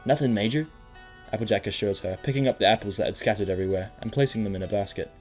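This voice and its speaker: A man's voice: fairly low pitch, racing, soft background music. A man narrating a scripted first-person audio drama story, also speaking as the main character.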